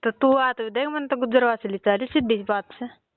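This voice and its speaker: A woman's voice: a little high, normal speed, no echo. Woman, Haryanvi accent, insistent, questioning domestic phone talk, dry telephone-quality recording. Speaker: wife on a phone call. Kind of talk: informal domestic argument over the phone. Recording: muffled narrowband phone call recording.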